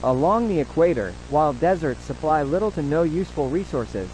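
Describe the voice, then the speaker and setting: A solemn government emergency announcer played by a man, speaking in a slightly high male voice. The delivery is formal and measured, like an official survival bulletin in an audio drama. A faint hum and hiss sit behind the words. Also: animated, darkish tone, close to an everyday voice, poor audio. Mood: solemn, instructive. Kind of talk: scripted emergency broadcast narration.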